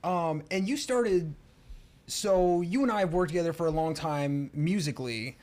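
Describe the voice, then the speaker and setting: A man's voice: a little high, normal speed, darkish tone. A man hosting a podcast, asking a guest loose, joking questions with casual swearing. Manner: loose, profane, chummy questions. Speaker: podcast host.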